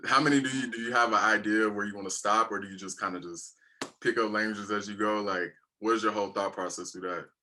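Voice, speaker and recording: A young man interviewing a guest, talking in a relaxed, rambling, colloquial way. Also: lowish voice, quick pace, a little hiss or hum. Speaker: YouTube interviewer. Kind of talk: casual interview.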